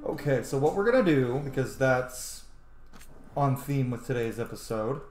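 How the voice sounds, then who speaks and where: A man's voice: medium pitch, lively intonation, normal speed, a little mellow, faint music behind. A man giving chatty, casual video game commentary, thinking aloud and swearing now and then. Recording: roomy echo, light background noise.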